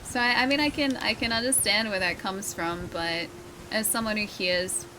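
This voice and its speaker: A woman's voice: mid-range voice, moderate pace, pushed and bright, a little hiss or hum, poor audio. A woman with a mild Australian accent and a slightly nasal voice, chatting casually and laughing often while she plays and streams a game. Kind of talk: casual gameplay commentary.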